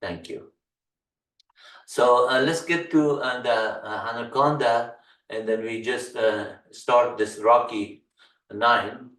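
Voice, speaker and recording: A man from Afghanistan with an Afghan accent, a native Farsi speaker, teaching a Linux course in a screen-share walkthrough and speaking haltingly with many "uh" fillers. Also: mid-range voice, a bit bright, distant mic. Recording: roomy echo, mic set back.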